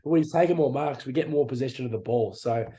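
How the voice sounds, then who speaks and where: A man's voice: mid-range voice, fast talker, dark tone. A young Australian man co-hosting a football podcast, talking in a blunt, frustrated way with casual slang and swearing. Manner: frustrated, blunt, swears, uses "mate" and "reckon". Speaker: podcast co-host. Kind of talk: casual sports chat.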